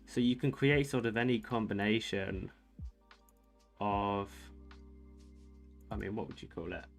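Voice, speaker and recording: Young male streamer, soft low-ish voice, meandering hesitant delivery over faint background music. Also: measured pace, gravelly. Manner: rambling, hesitant, many fillers and restarts; soft-spoken, called soothing by viewers. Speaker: streamer reading chat. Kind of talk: casual livestream chat while playing chess.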